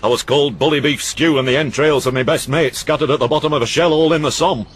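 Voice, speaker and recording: A man's voice: fairly high pitch, quick pace, pitched above the performer's own voice. A man voicing a cantankerous old uncle who mocks and rants. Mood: ranting. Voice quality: gruff, rambling, scornful. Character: cantankerous old northern uncle, sharp-tongued and mocking.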